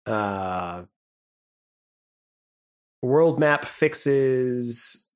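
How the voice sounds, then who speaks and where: Man, young adult, slow-talking, rambling, casual podcast chat, frequent laughs. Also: medium pitch, clear, resonant tone. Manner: relaxed, rambling, laughs often. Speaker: podcast co-host.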